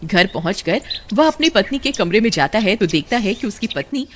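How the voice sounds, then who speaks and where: A man's voice: high voice, animated, fairly quick, a little hiss or hum. A man narrating a scripted story as its storyteller. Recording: loud music under voice. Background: loud music.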